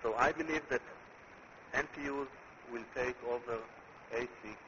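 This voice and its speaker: A man with an Israeli accent, a company chief executive giving a conference presentation in a deliberate way, repeating words as he builds his points. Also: mid-range voice. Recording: muffled recording with clear background noise.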